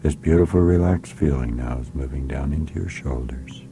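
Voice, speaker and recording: A man leading a guided hypnotic relaxation in a soft, soothing voice that often drops to a whisper, repeating calm commands. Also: rumbling low, noisy background. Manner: slow, soothing, repetitive commands. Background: music.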